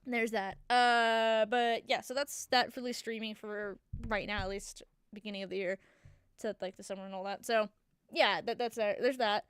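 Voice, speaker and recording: A woman's voice: mid-range voice, fairly even intonation, moderate pace, a bit bright, clear, resonant tone, no room sound, clean studio sound. A young woman with a congested voice, chatting casually as a gaming channel host, rambling with many fillers and restarts. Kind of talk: casual chat.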